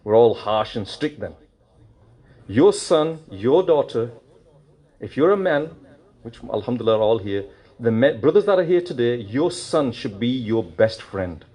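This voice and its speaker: A man giving a mosque sermon, animated and full of rhetorical questions, slipping in Urdu and Punjabi phrases. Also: medium pitch, unhurried. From United Kingdom. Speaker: preacher.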